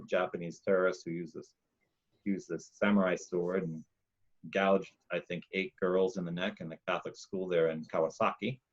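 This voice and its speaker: A man, a monk hosting a casual talk, telling a long personal story in a loud, emphatic, rambling way. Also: low voice, muffled recording. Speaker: podcast host, monk.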